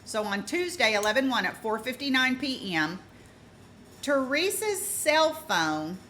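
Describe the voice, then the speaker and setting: A woman with a fairly high, bright and forceful voice talks through a true-crime case informally. She is emphatic and deliberate, circling back to stress her points. Some noise sits behind her voice.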